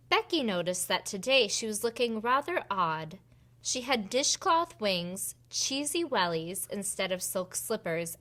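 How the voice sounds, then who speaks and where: A woman reading a picture book aloud for preschoolers in an expressive storytelling manner. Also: mid-range voice. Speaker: children's storybook reader and host.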